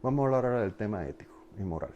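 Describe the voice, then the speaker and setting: Older man from cuba, warm mellow voice, calm explaining. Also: lowish voice, highs cut off. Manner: measured, explanatory. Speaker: interviewee. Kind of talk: interview answer.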